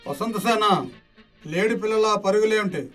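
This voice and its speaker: Man playing swaggering, high-voiced villain's sidekick. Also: moderate pace, far from the mic, soft background music, close to an everyday voice. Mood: taunting. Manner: mocking, flowery, theatrical. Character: swaggering, smooth-talking male companion of a villain. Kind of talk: radio play acting in Telugu.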